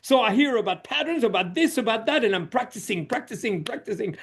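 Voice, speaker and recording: Middle-aged guy from switzerland, pretty high voice, thoughtful podcast storytelling. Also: animated, fast talker, gravelly, fairly bright tone, husky. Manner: reflective storytelling with frequent "you know". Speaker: guest social coach. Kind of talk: conversational podcast interview.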